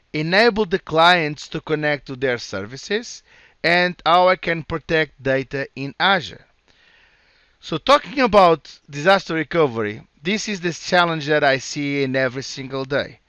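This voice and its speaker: A man from Portugal with a Portuguese accent, giving a recorded technical conference talk over slides, earnest and halting with restarts. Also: fairly high pitch, fairly bright tone, clear, resonant tone, dry recording, lo-fi sound. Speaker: solution architect presenting.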